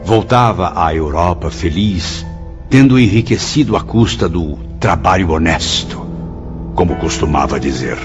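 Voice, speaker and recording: A man's voice: low-pitched, expressive, measured pace. A man narrating a radio drama in a solemn, scripted style. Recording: dull lo-fi sound under loud music.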